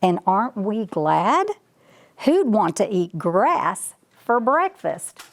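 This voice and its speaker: Woman reading as boastful folksy ranch dog, lively pitch. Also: slow pace. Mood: folksy explaining. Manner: bragging, chatty, addressing the listener directly. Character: boastful, folksy male ranch dog, self-important security chief. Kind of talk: children's storybook read-aloud.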